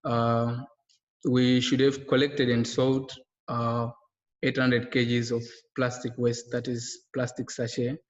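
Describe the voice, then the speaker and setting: A young man goes over his project's goals on a webinar call, halting and full of filler sounds. He speaks in an almost unchanging monotone. The call audio is muffled and lo-fi, with a hall-like echo. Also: mid-range voice. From Zimbabwe. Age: young adult. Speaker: project co-founder presenting. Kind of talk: webinar slide presentation. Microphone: video call. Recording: echoey, dull lo-fi webinar audio.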